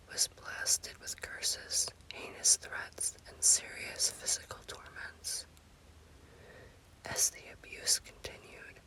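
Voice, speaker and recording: A woman speaking in a breathy, unvoiced whisper in the style of an ASMR spooky storytelling host, with deliberate phrasing. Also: sharp tone, rough recording.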